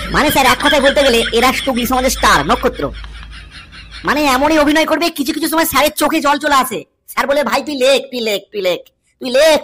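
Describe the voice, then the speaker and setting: A woman's voice: high-pitched, fairly bright tone. A cheeky cartoon schoolboy acted by a woman speaks. He lectures theatrically, piling up repeated phrases in comic, overdramatic fashion. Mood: mocking. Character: dramatic, cheeky cartoon schoolboy explaining exam cheats.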